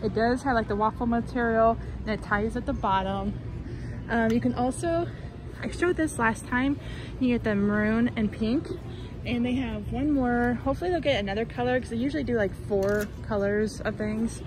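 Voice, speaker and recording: A woman's voice: fairly high pitch, moderate pace, noisy background. Chatty woman narrating a store walk-through. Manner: chatty, upbeat, lots of fillers like "um" and "like". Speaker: shopping vlogger. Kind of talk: casual shop-with-me narration. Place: inside a large retail store. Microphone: phone camera held in hand.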